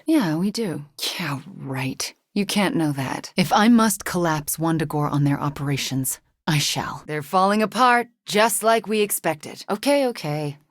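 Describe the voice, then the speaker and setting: A brooding young sorceress hero from a video game. Her voice is fairly low and husky with a soft, breathy edge, recorded very dry. She speaks in a measured, earnest and intimate way, as someone who carries guilt. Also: no room sound, heavily acted. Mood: resolute. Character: brooding, remorseful young woman sorceress superhero. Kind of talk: dramatic video game character dialogue.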